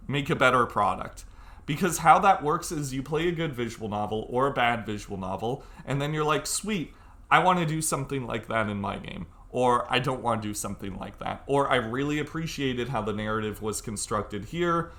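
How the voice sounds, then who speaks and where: A man's voice: a little high, sharp tone. A young man giving an informal video tutorial, talking casually and bluntly, urging the listener on with emphasis. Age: young adult. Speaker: tutorial presenter.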